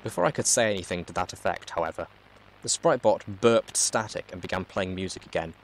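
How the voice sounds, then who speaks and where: A man reading fan fiction aloud in an expressive storybook narration style. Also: low voice, a little fast, a little hiss or hum, rough recording.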